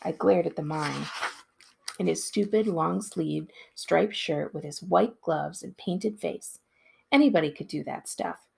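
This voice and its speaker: A woman, a school librarian, giving an expressive read-aloud of a storybook in a narrator's style. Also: fairly low pitch, measured pace, vocal fry, slightly rough.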